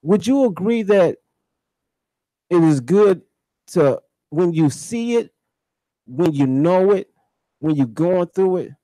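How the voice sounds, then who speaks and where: A man's voice: sits high, lively intonation, slow, long pauses, mellow, dry recording, poor audio. A man hosting a radio talk show, speaking reflectively and repeating phrases for emphasis. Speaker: radio host.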